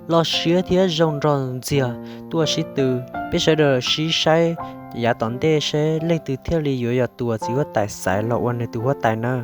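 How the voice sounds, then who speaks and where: A man's voice: mid-range voice, lightly acted. A man voicing a male character in a comic cartoon story. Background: music.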